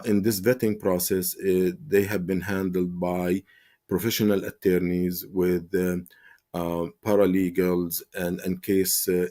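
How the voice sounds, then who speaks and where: A man's voice: low voice, dark tone. A man from Palestine, an advocacy spokesman answering in a TV interview, earnest and impassioned with frequent fillers and restarts.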